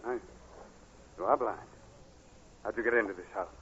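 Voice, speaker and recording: An actor playing a cold, calm killer in a 1940s radio thriller, with a slow, deliberate, threatening delivery. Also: male voice, on the low side, natural voice. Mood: cold menace. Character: cold, menacing male killer, calm and controlling. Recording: muffled, noisy 1940s radio recording.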